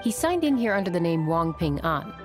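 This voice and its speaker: An actress playing a composed, polite female innkeeper in a video game, measured, courteous and matter-of-fact. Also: fairly low pitch, sing-song, music in the background. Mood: composed, helpful. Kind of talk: video game dialogue acting.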